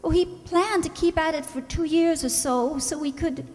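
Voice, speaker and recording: In a long, echoing hall, an actress plays a distraught young wife in an old-time radio drama. Her voice is very high, and she speaks anxiously and haltingly, breaking off as she pleads for her husband. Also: a little mellow, close to an everyday voice. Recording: roomy hall with long reverb.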